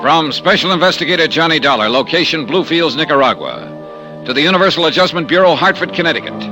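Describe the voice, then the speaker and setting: An actor playing a wry, self-assured American investigator in a 1950s radio detective drama, with a clipped hard-boiled delivery. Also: male voice, on the high side, sing-song, fast talker, over music. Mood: wry narrating.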